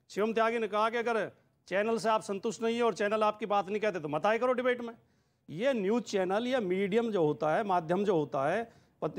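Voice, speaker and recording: A man arguing as a party spokesman in a TV debate, emphatic and fond of repetition. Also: sits high. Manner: argumentative, emphatic, builds points by repetition. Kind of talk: televised political debate argument.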